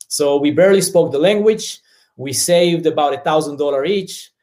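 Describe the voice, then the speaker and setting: Israeli-accented man guest, slightly higher voice, easygoing. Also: moderate pace, darkish tone. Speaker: business founder and guest. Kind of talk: casual interview storytelling.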